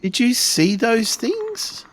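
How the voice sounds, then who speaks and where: A man's voice: very high voice, sing-song, slow pace, a bit bright. A man talking casually and improvising in character as a player in a tabletop roleplaying game.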